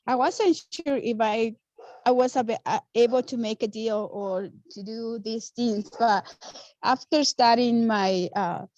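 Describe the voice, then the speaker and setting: A woman whose first languages are a dialect and Spanish talks in English with many fillers and restarts, encouraging beginners. Her voice sits a little high. It comes through a dry, muffled video call connection. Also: a little mellow, no echo. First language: a dialect, then Spanish. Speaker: real estate investor guest.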